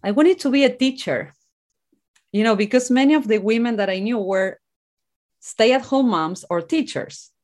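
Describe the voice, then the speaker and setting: A woman's voice: fairly high pitch, animated, moderate pace, darkish tone. A middle-aged woman from Argentina, a native Spanish speaker with an Argentine accent, telling her own story conversationally as a podcast guest.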